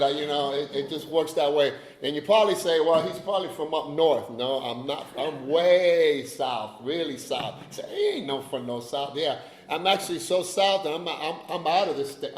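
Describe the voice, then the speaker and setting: A man tells his story at a recovery meeting, chatty and full of joking asides to the crowd. His voice sits fairly high for a man. The sound rings around an echoey hall. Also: moderate pace, few pauses. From Cuba. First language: Spanish. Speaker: AA speaker. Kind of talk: personal recovery talk.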